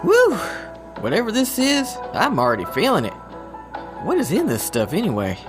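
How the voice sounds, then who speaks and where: A man playing a goofy, excitable, good-natured agent in a comedic audio drama, talking in a folksy, enthusiastic way. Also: high voice, moderate pace, music in the background. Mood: giddy.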